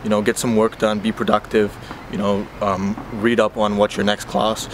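A man's voice: fairly low pitch, little pitch movement, moderate pace, a little hiss or hum. A young man, a university student, chatting casually to a handheld phone camera as he vlogs his day, giving advice with lots of fillers. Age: young adult. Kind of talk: casual vlog talk to camera. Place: restaurant, campus outdoors and gym. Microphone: handheld phone camera at arm's length.

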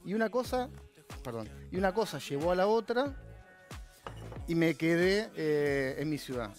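A man's voice: a little high, expressive, moderate pace, faint music behind. A man in his thirties from La Plata with an Argentine Spanish accent, a chef explaining his recipe with hesitant restarts in a TV studio kitchen. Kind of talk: cooking demonstration chat.

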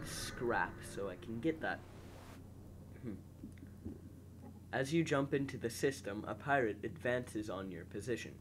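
A young man with a slightly nasal, congested voice, calmly commentating a space game and reading its text aloud while muttering to himself. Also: fairly low pitch, noisy background. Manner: relaxed, mumbles quietly to himself while playing. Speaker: gameplay host.

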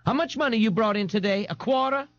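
A man's voice: on the high side, fairly quick, warm tone, no room sound, muffled recording, voice pushed up, lightly acted. A man voicing an anxious working-class mother of a grown son, plaintive, folksy and scolding, in an audiobook. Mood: screaming. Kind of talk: audiobook character voice.

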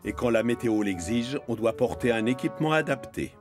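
A man narrating a children's cartoon as an expressive storyteller. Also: mid-range voice, fairly quick, over music.

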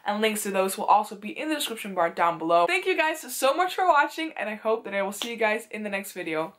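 Young Dutch woman, native Dutch with an American-leaning English accent, slightly high-pitched, casual chatty to-camera bedroom vlog. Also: fairly bright tone. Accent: Dutch-tinged American English. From Netherlands. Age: 20s. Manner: chatty, many "like" fillers, gestures a lot with hands. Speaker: booktuber host. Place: small bedroom in front of bookshelves, indoors.

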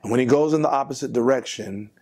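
An older male pastor delivers a devotional sermon from behind his desk, his voice gravelly with a husky edge. He preaches earnestly and with emphasis, posing questions to his listeners. The sound is close, from a lapel mic. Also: medium pitch, quick pace, a little mellow. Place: pastor's office, seated at desk.

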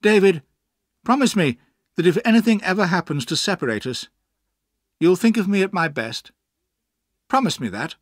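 A man voicing a proud, reckless young gentleman in an audiobook, self-assured and intense. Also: high voice, sing-song, moderate pace, no echo, clean studio sound, voice pushed up. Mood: earnest, wistful. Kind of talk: audiobook character acting.

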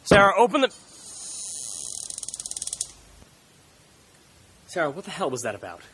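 Earnest, excitable teenage boy male actor, sharp forward tone, casual slang, audio drama. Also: high-pitched, very fast, slightly rough, full character voice. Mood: panicked. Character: earnest, easily rattled small-town teenage boy. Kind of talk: audio drama acting and first-person recounting. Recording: faint background noise.

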